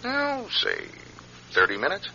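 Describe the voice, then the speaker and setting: Taunting radio-play blackmailer: very sharp, raspy voice, mock-casual drawl, insinuating, wildly swooping pitch. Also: male voice, huge pitch swings, measured pace, heavily acted. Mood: sly, toying. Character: sly, taunting male blackmailer toying with his victim. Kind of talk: dramatic radio play acting. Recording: dull, lo-fi radio recording.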